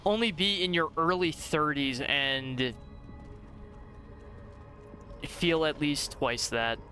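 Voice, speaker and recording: A man in his thirties streaming a game, chatting casually with a friend in an animated, rambling way, laughing often and swearing. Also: on the high side, measured pace, faint music behind. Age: 30s. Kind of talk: casual gaming stream chat.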